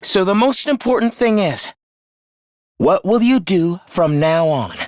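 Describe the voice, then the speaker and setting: A man's voice: high-pitched, expressive, unhurried, no echo, studio quality. A man playing a young video game fighter who is eerily polite and fervent, speaking in theatrical, formal phrases of morbid devotion. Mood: stern, measured. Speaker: voice actor. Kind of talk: dramatic video game character acting.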